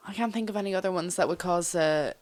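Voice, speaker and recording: A young woman with a slightly low voice acts as the interviewer. She answers in short, casual bits and laughs easily. She often signals agreement with quick replies. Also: a little mellow.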